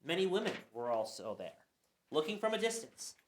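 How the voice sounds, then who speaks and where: A man's voice: on the high side, very animated, moderate pace, slight background noise. Man reading scripture in an echoey room. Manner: earnest reading with some stumbles. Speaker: narrator. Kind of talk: scripture reading in a church radio play.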